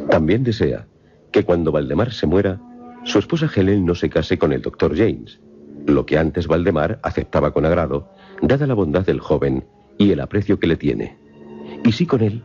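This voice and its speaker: A man narrating a scripted film synopsis in a measured, formal, dramatic storytelling manner. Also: extremely low pitch, warm tone. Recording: slightly muffled voice-over with music. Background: music.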